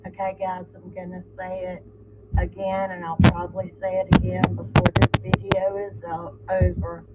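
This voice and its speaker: A host with a hoarse voice, giving earnest commentary with many fillers. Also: medium pitch, monotone, normal speed. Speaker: channel host. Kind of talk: casual commentary to camera. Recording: phone-like lo-fi with loud music behind.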